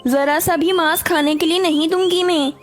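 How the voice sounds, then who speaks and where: A woman's voice: extremely high pitch, steady tone, normal speed, voice pushed up. A woman voicing a bossy, greedy female crocodile, a scolding wife in a cartoon story. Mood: scolding, selfish. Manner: sharp-tongued, scolding. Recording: music behind the voice.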